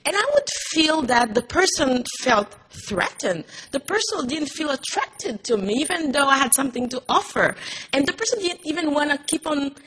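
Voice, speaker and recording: A woman's voice: high voice, expressive, normal speed, a bit bright, low-quality recording. A woman, an actress on a panel, speaking earnestly in English, often restarting her sentences. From Haiti. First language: Haitian Creole. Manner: earnest, frequent restarts and fillers. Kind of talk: panel talk, personal story. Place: Montreal.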